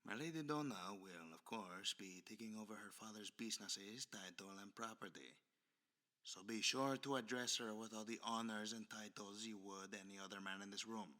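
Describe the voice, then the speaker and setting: Man acting a suave, crisp-edged aristocratic villain. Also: fairly low pitch, normal speed, bright, forceful tone. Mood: smooth, commanding. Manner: formal, florid, smoothly condescending speech. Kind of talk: ASMR roleplay audio drama acting.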